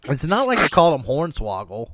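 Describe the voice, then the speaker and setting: A man's voice: a little high, huge pitch swings, a little fast, very noisy. A man hosting a casual podcast chat, cracking crude jokes and swearing freely in loose banter. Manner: profane, jokey banter. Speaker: podcast host.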